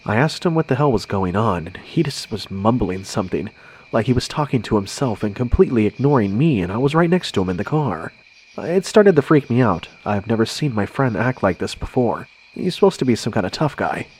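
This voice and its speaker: A man tells a scary story in the first person. His voice sits fairly low, and he narrates in a conversational, scripted way. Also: very animated, a little fast, a little mellow, slightly rough.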